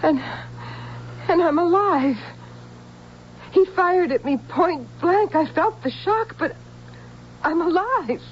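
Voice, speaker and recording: Casting: innocent lovestruck female android, high voice, unhurried, emotional radio-play style, dull vintage recording. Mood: frightened, dazed. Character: tender young female humanoid, innocent and lovestruck. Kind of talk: old-time radio drama acting. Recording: dull, faintly hissy old radio recording.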